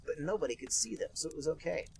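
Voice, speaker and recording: A man co-hosting a comedy podcast, reading a fanfic aloud in a deadpan way while holding back laughter. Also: a little high, fast, slight background noise.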